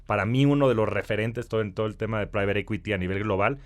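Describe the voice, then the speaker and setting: A man's voice: on the low side, quick pace. A man hosting a podcast, upbeat in his introductions and admiring toward his guest. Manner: upbeat promo, then warm admiring welcome. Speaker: podcast host. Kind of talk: enthusiastic podcast intro and interview.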